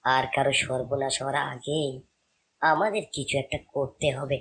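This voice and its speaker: A man's voice: medium pitch, steady tone, normal speed, a bit bright, slight background noise, lightly acted. A man voicing a clever, suspicious fox scholar in a cartoon, urgent and commanding. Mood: resolute. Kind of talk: cartoon voice acting.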